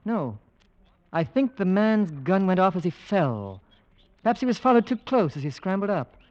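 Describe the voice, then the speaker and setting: A man playing a brisk, skeptical English gentleman with an English accent, clipped and debonair, in an old radio drama. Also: high voice, big pitch swings, dull audio. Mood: keen, intent. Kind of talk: 1940s-50s radio drama acting.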